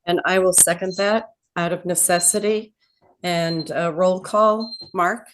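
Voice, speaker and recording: A woman's voice: fairly low pitch, fairly even intonation, unhurried, poor audio. A woman chairing a town assessors board, speaking at a public meeting in a friendly, chatty way with a self-deprecating touch.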